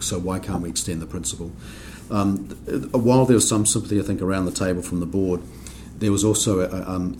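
Deep-voiced New Zealand man explaining. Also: darkish tone, slight background noise. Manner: measured, explanatory, many ums and restarts. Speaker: accounting standards board member. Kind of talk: podcast discussion.

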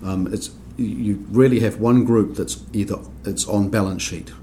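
A man from New Zealand with a New Zealand accent, explaining accounting rules on a podcast in a measured way, with frequent ums and restarts. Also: lowish voice, steady tone, warm tone, slight background noise. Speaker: accounting standards board member. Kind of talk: podcast discussion.

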